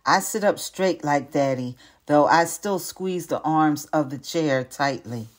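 An older woman, a retired teacher, reading a children's picture book aloud in an expressive, deliberate storytelling manner. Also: sits low.

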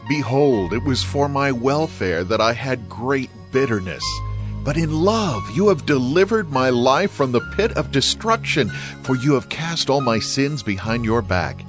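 A man's voice: mid-range voice, very animated, a little slow, music in the background. An actor playing an ailing, devout king in a dramatized scripture reading, speaking earnestly and theatrically. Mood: fervent hope. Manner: earnest, poetic, theatrical delivery.

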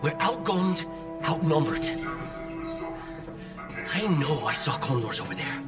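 A man voicing a frightened, bitter pilot who speaks sarcastically and breaks into desperate shouts. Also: fairly high pitch, very animated, slow pace, far from the mic. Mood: angry despairing. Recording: game audio with music, off-mic.

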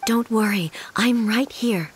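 A woman playing a calm, stern female ruler of godlike authority in a video game, formal and measured. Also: fairly high pitch, a little hiss or hum. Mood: calm, reassuring.

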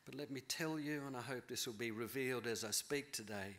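A middle-aged man giving a keynote lecture from a podium in a large auditorium, thoughtful and measured, often rephrasing himself. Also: medium pitch, fairly bright tone. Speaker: keynote speaker, novelist. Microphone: podium mic.

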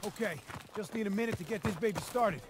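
An actor playing a cynical, grumbling young American army private in a World War II video game. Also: male voice, high voice, fast talker, very noisy. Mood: cynical, grudging.

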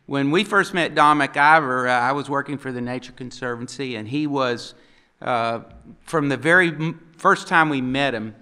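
A man's voice: medium pitch, pushed and bright. An older man on a conference panel, telling folksy anecdotes and acting out the people he quotes, at a table mic in a hotel conference room. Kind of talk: storytelling on a panel.

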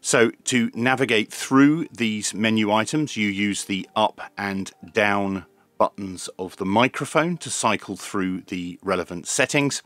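Low-voiced man demonstrating gear. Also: a little slow, fairly bright tone, dry recording. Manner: methodical, step-by-step explaining. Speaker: radio reviewer, channel host. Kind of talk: informal hands-on product review and demonstration. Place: small indoor room at a desk.

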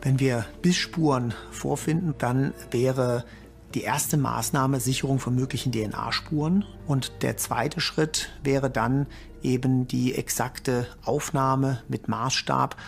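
Creaky-voiced male forensic expert. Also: medium pitch, steady tone, slow, faint music behind. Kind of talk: explanatory interview talk.

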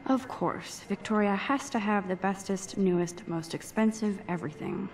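An actress playing a shy, self-deprecating teenage photography student, thinking aloud casually in a video game. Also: female voice, mid-range voice, normal speed, a little mellow, lightly acted. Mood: resentful. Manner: casual, introspective, understated. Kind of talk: inner monologue in a video game.

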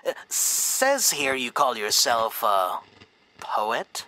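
An actor playing a snooty, condescending snake who hosts a 1940s radio show, heard through old radio static. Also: male voice, mid-range voice, very animated, extremely slow, bright, forceful tone, heavily acted. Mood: condescending, formal. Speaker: radio host. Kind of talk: 1940s radio interview acting.

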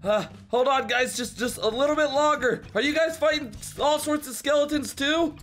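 A flustered superhero, acted by a man in a video game roleplay, with a very high voice for a male. He is well-meaning, hesitant and easily exasperated, and music plays behind him. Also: moderate pace, a bit bright. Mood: determined, harried. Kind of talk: improvised Minecraft roleplay.

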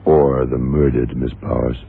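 Very deep-voiced, shrewd blind federal agent. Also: male voice, level tone, vocal fry, slightly rough, phone-quality sound, heavily acted. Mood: calm, precise. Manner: measured, deliberate. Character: blind FBI agent, calm, shrewd, deliberate man. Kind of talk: old-time radio drama acting. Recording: thin vintage radio recording, loud music.

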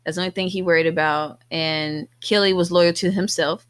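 A woman's voice: on the low side, fairly even intonation, moderate pace, smooth, no room sound. Casual woman podcaster, dry close sound. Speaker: podcast co-host. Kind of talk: casual movie discussion.

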